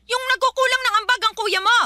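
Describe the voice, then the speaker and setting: A woman's voice: very high voice, fairly even intonation, fast, bright, forceful tone, no room sound, studio quality, heavily acted. A woman acting a harsh, nagging mother in a Filipino radio drama, scolding and belittling with a Filipino accent. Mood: demanding, angry.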